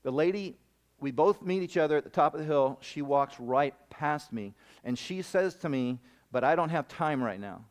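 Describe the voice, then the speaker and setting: A man speaking as a missionary at a camp gathering, telling a long personal story in a rambling, animated way with many restarts. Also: fairly high pitch, moderate pace. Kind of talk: storytelling sermon. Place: camp meeting hall.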